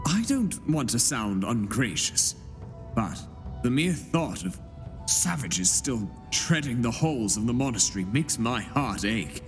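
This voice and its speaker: An actor playing a pious, emotional middle-aged knight in a game, speaking solemnly. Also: male voice, mid-range voice, sing-song, a little slow, long reverb tail, over music. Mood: grave. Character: pious, tearful male knight, middle-aged, humble yet pleading. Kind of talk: game voice acting.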